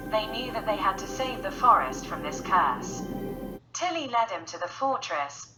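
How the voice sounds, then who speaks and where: A woman's voice: mid-range voice, rough recording. A woman narrating a scripted bedtime story for children in a storyteller's style.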